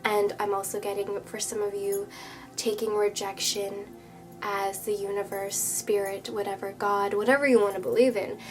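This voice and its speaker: A woman reads tarot for her viewers in a mid-pitched, slightly nasal, stuffed-up voice. She speaks earnestly and soothingly, sliding often into a soft whisper. Music plays clearly behind her. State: congested, a bit nasal. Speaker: tarot reader. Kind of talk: spoken tarot reading to viewers. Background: background music.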